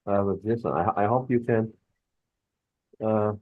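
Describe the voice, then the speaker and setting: A man's voice: on the low side, slow, dry recording. A man giving a presentation over a video call, hesitant and full of "uh" fillers. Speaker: invited speaker. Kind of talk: online presentation. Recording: dull, dry video-call audio.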